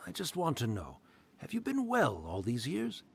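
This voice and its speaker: A man voicing a gentle, wistful spirit in a video game, hesitant and soft-spoken. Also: on the high side, huge pitch swings, moderate pace, exaggerated voice. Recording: clear background noise and ambience.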